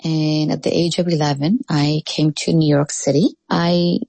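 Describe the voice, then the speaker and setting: A woman's voice: deep voice, little pitch movement, normal speed, creaky, dark tone, no echo. A woman from Bangladesh telling her own immigrant story in plain, direct words. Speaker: interviewee. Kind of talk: personal story.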